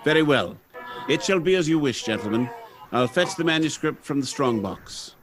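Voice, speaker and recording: A man's voice: on the high side, expressive, normal speed, over music, natural voice. A man playing a troubled, grave factory director and husband in a dramatic 1930s-style radio play.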